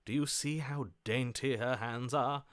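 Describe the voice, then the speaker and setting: An actor voicing a lovestruck, chivalrous romantic in a video game, with florid, ardent delivery. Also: male voice, mid-range voice, steady tone, measured pace, natural voice. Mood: ardent, grandiose. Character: lovestruck, theatrical romantic hero, grandiose and chivalrous.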